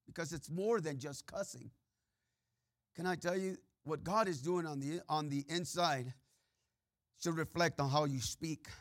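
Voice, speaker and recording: A man with a Mexican-Texan accent preaching a sermon, full of "uh" and "amen" fillers, swinging between loud preaching and quiet asides. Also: on the high side, unhurried, dry recording, clean studio sound. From Mexico and Texas.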